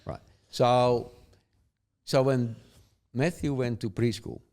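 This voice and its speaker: A man's voice: mid-range voice, fairly even intonation, slow, a little mellow. An older man from the Netherlands with a Dutch accent, telling stories in casual chat, chuckling often and rambling with tag questions. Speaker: guest.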